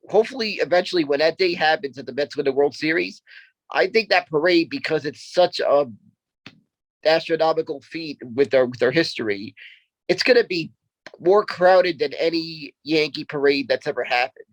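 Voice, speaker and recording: A man's voice: on the high side, moderate pace, dry recording, rough recording. A man in his thirties co-hosting a sports podcast, talking casually and often repeating himself as he makes a point. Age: 30s.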